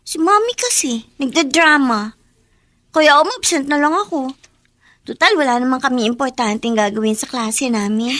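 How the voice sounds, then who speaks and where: A woman's voice: high voice, expressive, normal speed, fairly bright tone, no room sound. An actress playing an affectionate, earnest young schoolgirl in a radio drama, a former snob turned sincere. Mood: earnest, confiding.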